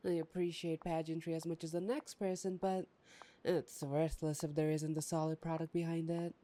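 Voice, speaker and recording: A woman's voice: low voice, little pitch movement, normal speed, mellow, close to an everyday voice. A woman playing a taunting, playful, predatory vampire in an ASMR roleplay, with a teasing, mocking drawl. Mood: suave, flattering. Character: taunting, playful, predatory vampire gloating over a captive hunter. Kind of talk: ASMR roleplay audio drama.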